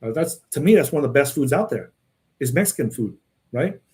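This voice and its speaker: A man's voice: medium pitch, animated, a little fast, warm tone. A man who grew up in Texas, talking casually in a rambling monologue and answering viewers' questions, often repeating words and tagging his sentences with "right?" and "okay?".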